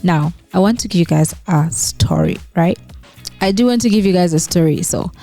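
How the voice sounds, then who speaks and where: Woman vlogging in a mellow voice. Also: fairly low pitch, animated, normal speed, faint music behind. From Western Uganda. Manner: conversational, frequent tag questions and fillers. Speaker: YouTuber host. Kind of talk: casual commentary vlog.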